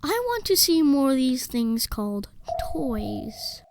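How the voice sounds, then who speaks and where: A small, innocent alien child speaks in a high, slow voice, full of wonder. It is an audio play performance with clear noise behind it. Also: female voice, big pitch swings, exaggerated voice. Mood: wondering, obedient. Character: curious, innocent Martian child.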